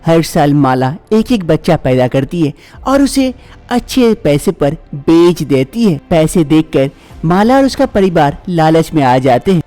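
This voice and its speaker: Mellow male storyteller. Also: a little high, sing-song, a little slow, faint music behind. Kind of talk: scripted story narration.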